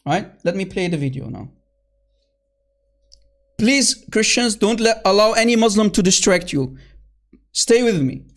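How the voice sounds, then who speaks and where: Apologist host, man with a high voice, combative and scornful, plays to his online viewers from his computer. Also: lively intonation, measured pace, pauses often. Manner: heated, scornful, calls opponents names; repeatedly addresses viewers as guys and brother. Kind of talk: combative online debate commentary.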